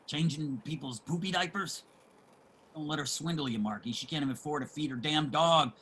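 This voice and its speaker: A man playing a streetwise homeless man in a play reading, generous and opinionated, with salty, emphatic street talk and a husky voice. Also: fairly high pitch, fairly quick, bright, forceful tone, a little hiss or hum. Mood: scornful. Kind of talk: dramatic table reading of a stage play.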